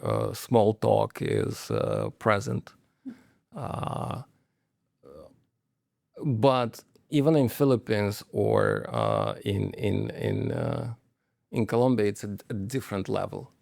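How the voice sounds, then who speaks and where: Gravelly-voiced man. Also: lowish voice, wildly swooping pitch, slow, darkish tone, no echo, clean studio sound. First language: Romanian. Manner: hesitant, many fillers and restarts. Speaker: guest, company manager. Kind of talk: conversational interview storytelling.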